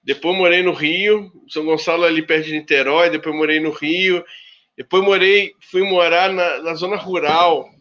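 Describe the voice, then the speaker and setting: Halting man on dull video-call audio. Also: on the high side, steady tone, fairly bright tone. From Rio de Janeiro state, Brazil. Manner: halting, with many restarts and fillers. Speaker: researcher on a panel. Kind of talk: casual academic debate talk.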